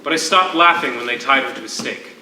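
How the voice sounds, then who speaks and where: An actor playing a grieving, defeated imprisoned poet in a stage drama, speaking in deliberate, drawn-out phrases with dramatic pauses. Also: male voice, mid-range voice, close to an everyday voice. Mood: haunted grief. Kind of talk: theatrical stage acting, dramatic monologue. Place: theatre stage, miked from a distance. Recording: echoey, noisy stage recording from far off.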